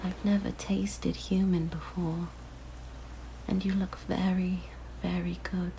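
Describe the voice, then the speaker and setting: A woman voicing a lonely, curious, predatory siren in an ASMR roleplay, with a soft, breathy, mostly whispered voice and a hushed, musing, gently menacing manner. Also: on the low side, flat delivery, slow pace, rough recording. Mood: hungry, menacing. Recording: faint background noise.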